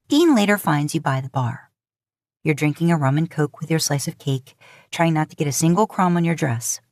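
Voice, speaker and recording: Low-voiced woman narrating fiction. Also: darkish tone, no room sound, clean studio sound. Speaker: podfic narrator.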